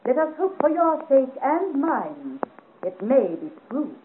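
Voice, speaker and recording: A woman's voice: high voice, very animated, measured pace, noisy background. An actress playing a proud, ambitious marchioness in her thirties with an imperious, grand manner in a 1950s radio serial. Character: haughty ambitious 37-year-old marchioness, royal favourite of France. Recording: muffled phone-like old radio recording.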